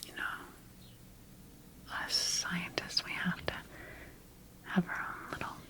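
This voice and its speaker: A woman whispering breathily in an ASMR sci-fi roleplay as a scientist studying her creation, speaking deliberately with pauses. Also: sharp tone, low-quality recording. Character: quietly rogue female scientist studying her engineered creation. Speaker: ASMR performer.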